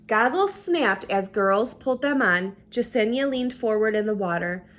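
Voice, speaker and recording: A woman storyteller reading a children's book aloud with expressive, deliberate, dramatic phrasing. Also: medium pitch. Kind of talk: expressive read-aloud narration.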